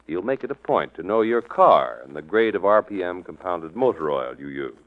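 An American man announcing and reading scripted sponsor copy in 1940s radio style. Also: on the low side. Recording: muffled old radio recording, music behind.

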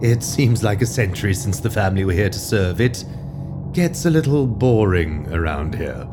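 A man's voice: fairly low pitch, expressive, moderate pace, music in the background, lightly acted. A man playing a polite, lonely butler of an old estate in an audio drama, with formal, courteous and faintly ominous delivery. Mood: hospitable, bored.

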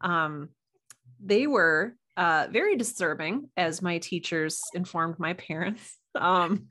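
A woman's voice: on the low side, slow pace, bright, forceful tone. A woman from the United States talking as a podcast guest, chatty and explanatory in a casual interview.

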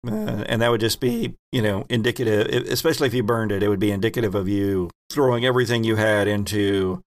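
Low-key creaky-voiced man running a game. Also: fast, dry recording. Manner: conversational, explanatory, jokey asides. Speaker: game master and host. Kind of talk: tabletop game narration and rules talk.

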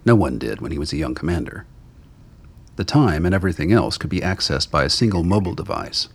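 A man with a gravelly, husky voice narrating a scripted radio drama. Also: sits low, expressive, fast talker.

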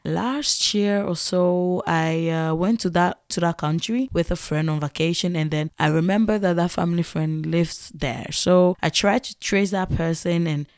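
A young Ghanaian woman tells a story in a loose, chatty way, laughing often and breaking into animated exclamations. Her Ghanaian English accent is clear, and her voice sits on the low side. The recording is dry and close, with no room sound. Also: a little slow, hardly any pauses, no room sound. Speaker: podcast host. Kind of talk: casual storytelling monologue.

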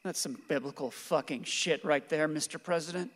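A man's voice: a little high, normal speed, fairly bright tone, a little hiss or hum, lightly acted. An actor playing a grieving, guilt-ridden father who clings to his guns, in a radio play. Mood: sorrowful, bitter. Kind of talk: stage-like radio play acting. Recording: reverberant hall-like room.

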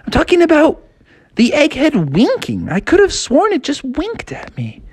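A man voicing Froggy, an excitable young frog boy who talks in an eager, insistent way. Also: very high voice, moderate pace, voice pushed up, full character voice.

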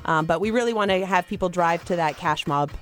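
A woman's voice: fairly low pitch, brisk, faint music behind. A woman from Maine with a Maine accent, chatting upbeat as a guest on a radio show. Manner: upbeat, chatty, laughs easily. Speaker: guest, community organizer. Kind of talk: casual radio interview.

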